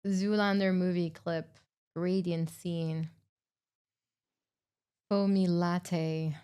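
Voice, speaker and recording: A woman's voice: fairly low pitch, level tone, very slow, dark tone, clean tone. A woman hosting a livestream in casual chat, giggly and laughing often. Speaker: livestream host.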